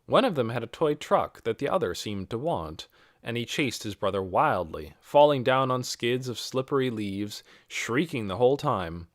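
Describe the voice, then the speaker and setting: Male audiobook narrator for children's fiction, expressive and lively in pitch, very dry recording. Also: normal speed, no room sound. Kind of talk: scripted narration of a children's novel.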